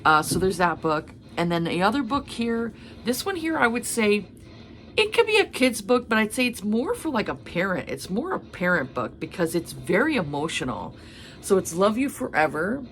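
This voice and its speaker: A woman in her thirties, hoarse from a cold, chatting casually about books with many fillers. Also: mid-range voice, big pitch swings, moderate pace, a little hiss or hum. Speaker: book vlogger. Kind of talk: casual chat.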